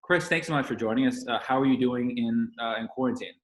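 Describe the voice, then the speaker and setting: Man co-hosting a podcast, middling-high pitch. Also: fast, poor audio. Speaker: podcast co-host.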